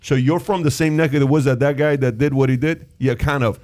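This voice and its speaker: Animated Iran-born podcast host. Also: male voice, medium pitch, brisk. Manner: animated, exclaiming, acts out quoted voices. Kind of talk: casual podcast chat.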